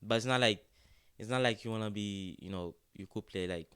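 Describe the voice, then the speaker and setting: Young man from Haiti, low smooth voice. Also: brisk, no echo, clean studio sound. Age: young adult. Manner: relaxed, repeats "yes, sir" and "like". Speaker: student-athlete guest. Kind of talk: casual interview chat.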